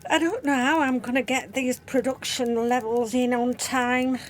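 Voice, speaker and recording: A woman's voice: sits high, fairly even intonation, normal speed, close to an everyday voice. A woman playing a tired, caring wartime factory supervisor, speaking a diary monologue in a radio play. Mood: weary, worried. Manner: weary.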